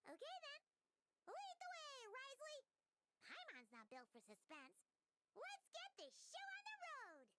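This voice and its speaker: Squeaky excitable little fairy girl. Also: a little slow, very noisy, full character voice. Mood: eager. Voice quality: squeaky, childlike. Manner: bubbly, excitable, blunt. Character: tiny childlike excitable floating fairy companion girl. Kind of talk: English video game voice acting.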